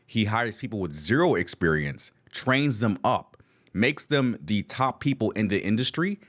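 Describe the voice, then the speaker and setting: A man's voice: lowish voice, animated, normal speed. A man hosting a live reaction stream, talking casually with enthusiastic slang. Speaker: channel host reacting to video.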